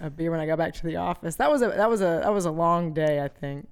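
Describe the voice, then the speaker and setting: A woman's voice: sits low, lively intonation, fast, clean tone. A woman co-hosting a podcast in casual comic chat, telling rambling stories in slangy, joking language. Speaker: podcast co-host.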